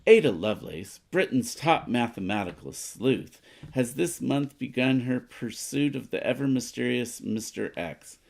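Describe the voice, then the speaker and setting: A man's voice: mid-range voice, lively intonation, measured pace, gravelly, darkish tone. A man co-hosting a board game playthrough, chatting casually and reading story text aloud.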